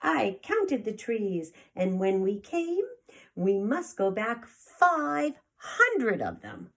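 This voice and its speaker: A woman's voice: mid-range voice, sing-song, takes it slowly, a bit bright, exaggerated voice. A woman voicing bold young girls from a storybook with emphatic calls. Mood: reassuring.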